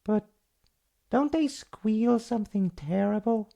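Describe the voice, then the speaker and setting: A woman's voice: a little high, slow pace, creaky, warm tone. A woman voicing a small, timid, curious young troll boy in a bedtime story, asking worried questions hesitantly. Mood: curious, anxious.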